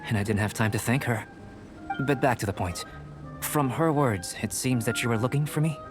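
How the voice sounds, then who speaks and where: A man playing a calm, detached young scholar and alchemist in a video game, speaking in a measured, formal, analytical way. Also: medium pitch, over music, close to an everyday voice. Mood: intrigued.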